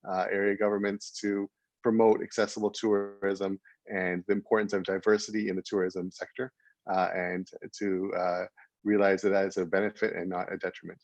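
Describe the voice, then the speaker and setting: A man's voice: lowish voice, no echo. A man from Canada speaking on an online panel, hesitant, with many fillers and restarts. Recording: dull lo-fi video call audio.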